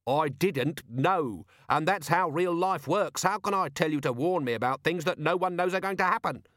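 A man's voice: fairly high pitch, moderate pace, fairly bright tone, no room sound, clean studio sound, voice pushed up, natural voice. A man voicing a weary, exasperated middle-aged commander of a city watch, tired and grumbling.

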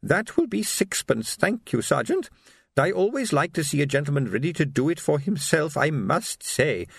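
A man plays a tiny old lady shopkeeper in a storybook. The voice is raised, thin and querulous, dithering politely with a lively lilt. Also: on the high side, normal speed, gravelly, breathy edge, dry recording, clean studio sound, voice pushed up, full character voice. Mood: prim. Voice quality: thin, quavering.

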